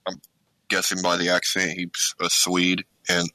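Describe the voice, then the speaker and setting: A man's voice: low voice, monotone, measured pace, bright, forceful tone, no room sound, rough recording. A man co-hosting a podcast, chatting casually in a laid-back way with short agreeing replies. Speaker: podcast co-host. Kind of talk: casual gaming news chat.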